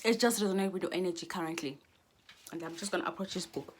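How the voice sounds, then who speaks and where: A woman's voice: lowish voice, quick pace, slight background noise. A Xhosa-speaking South African woman in her twenties, talking to camera in a rambling, earnest monologue full of restarts. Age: 20s. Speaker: vlogger.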